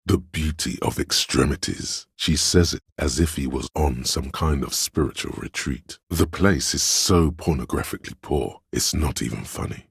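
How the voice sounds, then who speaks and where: A man voicing a video game's inner voice of a pompous, grandiose art critic, in a florid, theatrical delivery with a gravelly, husky tone. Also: extremely low pitch, normal speed, hardly any pauses, no room sound, clean studio sound, heavily acted. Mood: musing, disdainful. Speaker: video game skill narrator. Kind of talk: theatrical game voice-over.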